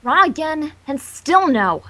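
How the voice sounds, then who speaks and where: A woman's voice: high-pitched, very animated, takes it slowly, pushed and bright, exaggerated voice, rough recording. A woman voicing a bookish, exasperated young female unicorn with a dramatic, lecturing manner in a fan fiction reading. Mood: impatient.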